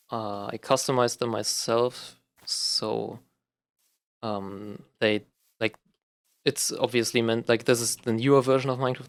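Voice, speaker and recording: A man's voice: lowish voice, a bit bright, clear, resonant tone, no room sound. A young man from Germany with a German accent, talking unscripted and rambling while he plays a game, with many fillers and restarts. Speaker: gaming vlogger. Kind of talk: casual unscripted talk while playing a game.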